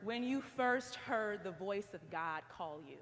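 A woman's voice: medium pitch, lively intonation, a little slow, fairly bright tone. A young woman preaching a sermon, telling stories animatedly and acting out what she says. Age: young adult. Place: large stone chapel. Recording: long hall reverb.

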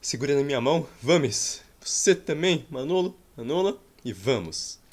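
A man's voice: medium pitch, lively intonation, normal speed, crowd noise. Playful Brazilian man narrating his game. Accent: Brazilian Portuguese. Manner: jokey, exclaims and sighs at game events. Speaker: gameplay streamer. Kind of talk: playful gameplay commentary. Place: small home room. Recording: faint game music behind.

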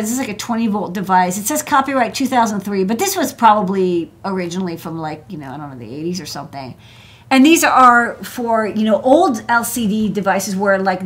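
Chatty woman walking through parts. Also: mid-range voice. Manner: chatty, many fillers and restarts. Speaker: electronics engineer hosting a desk show. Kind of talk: casual technical walkthrough. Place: indoor workshop desk.

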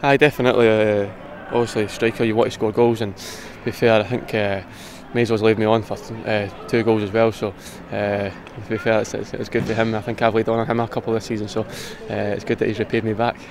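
Young Scottish footballer, rapid gravelly speech, low flat voice, faint traffic and outdoor hum. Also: male voice. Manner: quick, gravelly, casual, says "aye". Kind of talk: post-match interview. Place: outdoors pitchside at a stadium. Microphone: handheld interview mic, close camera.

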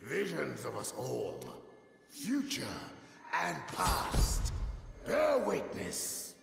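A man playing a stern, commanding immortal warrior king in a fantasy game, solemn and imperious, with a gravelly voice. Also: a little high, wildly swooping pitch, very slow, over music, exaggerated voice. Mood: grand, commanding. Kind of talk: fantasy video game character acting. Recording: echoey hall with long reverb.

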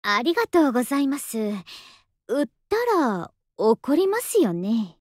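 A woman playing a lazy, polite, softly affectionate young masked soldier in an anime game, with an understated, languid delivery. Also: high voice, very animated, unhurried, no echo, clean studio sound, heavily acted. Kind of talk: Japanese anime game voice acting.

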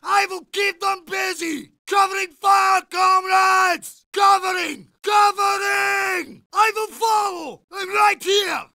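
A young Russian soldier in a war game, shouting at a very high, strained pitch. His voice is heavily gravelly and his accent Russian. He delivers urgent, earnest battlefield calls to his comrades in short bursts. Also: fairly even intonation, slow pace, hardly any pauses, dry recording, clean studio sound, heavily acted. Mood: urgent, concerned. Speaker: voice actor. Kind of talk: video game battle barks.

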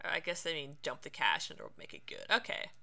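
A woman doing playful, casual game commentary as a streamer. Her low voice swings widely in pitch and has a gravelly creak. She sounds informal and a little theatrical. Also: wildly swooping pitch, fast, sharp tone. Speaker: streamer, let's play host.

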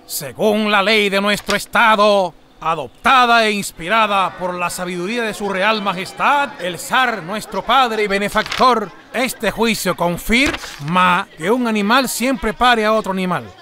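An actor voicing a pompous judge in an animated folk tale, reading formally and declaiming. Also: male voice, high-pitched, unhurried, a little hiss or hum. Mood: pompous, official.

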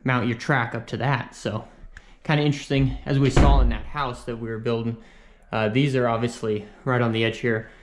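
A young handyman talks through his work in a small indoor room at an easy, chatty pace. His voice sits at an ordinary middle pitch, picked up by a camera a few steps away. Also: moderate pace, noisy background. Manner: explains while working, relaxed and chatty. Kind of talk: casual work narration.

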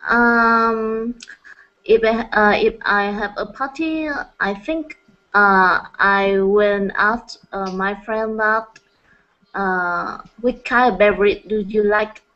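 A woman's voice: medium pitch, takes it slowly. A woman from Vietnam with a Vietnamese accent, answering hesitantly as an English learner. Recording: dull lo-fi video call.

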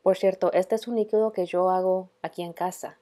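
Low, mellow woman narrating DIY. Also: fairly even intonation, fairly quick. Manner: casual, mixes in English words. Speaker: DIY home vlog host. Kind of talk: casual narration of a DIY makeover.

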